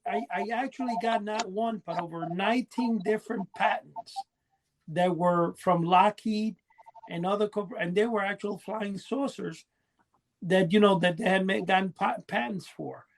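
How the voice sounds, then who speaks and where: Older man with a high-pitched voice. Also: unhurried, a little hiss or hum, rough recording. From Cuba. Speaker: podcast host. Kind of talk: casual conversational storytelling.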